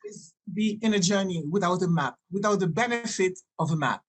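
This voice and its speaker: A woman in her sixties from Haiti, a native French and Creole speaker with a Haitian accent, telling personal stories in a halting, digressive way as a podcast guest. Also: fairly low pitch, husky. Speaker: podcast guest, doctor and healer. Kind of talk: conversational personal storytelling.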